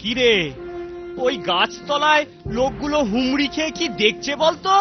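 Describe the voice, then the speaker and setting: Squeaky, bumbling guard. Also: male voice, moderate pace. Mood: startled, curious. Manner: excitable, exclamatory, bantering. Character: squeaky, bumbling one-eyed male guard, teasing. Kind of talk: comic cartoon voice acting. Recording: dull sound with clear music behind.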